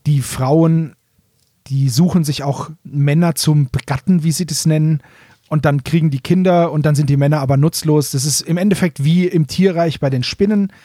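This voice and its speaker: A man's voice: a little high, fairly even intonation, moderate pace, warm tone, dry recording, studio quality. A man co-hosting a casual fan talk, explaining things in an informal, colloquial way. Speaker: podcast co-host.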